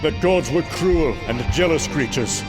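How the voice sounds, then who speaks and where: A man playing a grave storyteller, narrating an epic tale in a measured, portentous way. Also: fairly high pitch, lively intonation. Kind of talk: solemn dramatic scripted narration. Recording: loud music under the voice.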